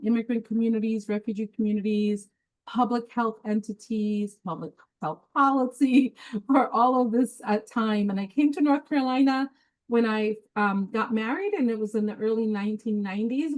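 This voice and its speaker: A woman's voice: on the high side, darkish tone, poor audio. A woman born in Peru, who grew up in New York, gives a casual, chatty webinar presentation over a video call, with frequent filler words.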